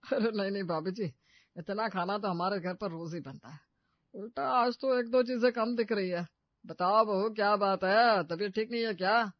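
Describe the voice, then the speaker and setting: A woman's voice: mid-range voice, normal speed, dry recording, lo-fi sound, lightly acted. A woman voicing a demanding, food-loving elderly father-in-law in a story, blunt and questioning. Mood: expectant.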